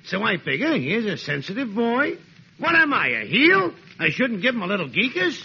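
Gravelly, swooping know-it-all pal. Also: male voice, sits high, huge pitch swings, normal speed, slight background noise, exaggerated voice. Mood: smugly advising. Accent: American. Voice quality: gravelly, raspy. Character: cocky know-it-all neighbor pal, self-appointed psychologist. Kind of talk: 1940s American radio comedy acting. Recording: muffled old radio recording.

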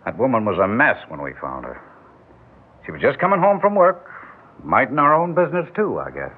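An actor in an old-time radio drama playing a blunt, insistent, hard-boiled police sergeant with a brusque manner. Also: male voice, mid-range voice, wildly swooping pitch, fairly quick, breathy edge. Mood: matter-of-fact, curt. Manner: brusque, pressing. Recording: tinny, muffled vintage radio recording.

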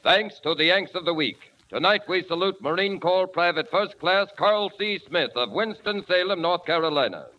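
Casting: American man, high announcer voice, scripted formal wartime-era radio delivery, muffled old broadcast sound. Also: lively intonation, moderate pace, few pauses, soft background music. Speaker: commercial announcer. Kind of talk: scripted 1940s radio tribute reading. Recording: dull 1940s radio recording.

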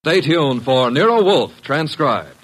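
A man's voice: on the high side, very animated, takes it slowly, a bit bright. A man announcing a 1950s radio show in a dramatic, scripted style.

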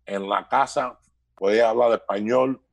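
A man's voice: on the low side, steady tone, normal speed, gravelly, dry recording. A middle-aged man with a Cuban accent shaped by New Jersey, a guest comedian in a loose, joking interview over a video call, mixing Spanish with English. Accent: Cuban, raised in New Jersey. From Cuba. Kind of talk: casual interview chat.

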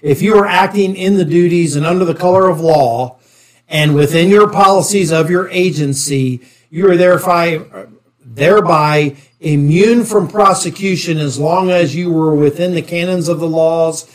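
Blunt man with slightly high voice. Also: moderate pace. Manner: emphatic, blunt. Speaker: panelist. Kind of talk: opinionated casual discussion.

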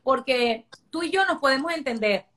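A Venezuelan woman in her forties with a bright, high voice. She talks emphatically as an interview guest, stressing points by repeating them. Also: animated, moderate pace. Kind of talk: conversational interview.

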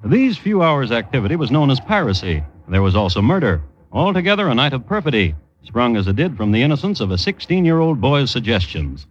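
Guy narrating old radio show, wry n formal, really varied pitch, muffled recording. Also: big pitch swings, brisk, slight background noise. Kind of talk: 1950s radio scripted narration.

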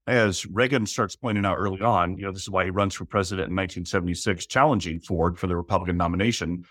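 A man answering interview questions about history, measured and full of small restarts. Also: deep voice, fairly bright tone, no room sound, studio quality. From United States. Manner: measured, with frequent restarts and fillers. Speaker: historian guest.

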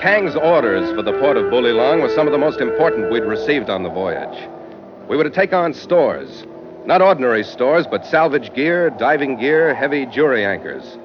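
An actor playing a tough, wry sea captain in a 1940s radio drama, with a clipped, hard-boiled delivery. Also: male voice, mid-range voice, sing-song, normal speed, over loud music, natural voice. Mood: wry storytelling. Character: tough, wry seafaring captain narrating his log. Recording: muffled old radio recording.

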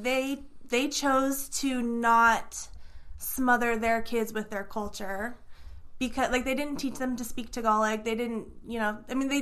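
A woman's voice: on the high side, fairly bright tone. A woman co-hosting a casual conversation, thoughtful and supportive, using many fillers. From United States.

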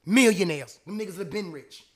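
A young man. He is an independent rap artist talking casually in an interview, full of street slang, calling people "bro" and checking in with "you know what I'm saying". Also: a little fast. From Portsmouth, Virginia. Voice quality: high-pitched voice.

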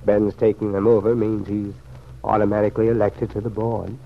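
An actor playing a grave, regretful man in an old-time radio serial, speaking in an earnest, measured way. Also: fairly low pitch, level tone, gravelly. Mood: somber. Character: grave, regretful man, troubled family friend. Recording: muffled, noisy old radio recording.

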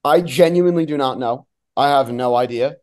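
A man's voice: medium pitch, moderate pace, darkish tone, smooth. A man talking as a sports announcer guest, giving emphatic, opinionated analysis in a conversational way.

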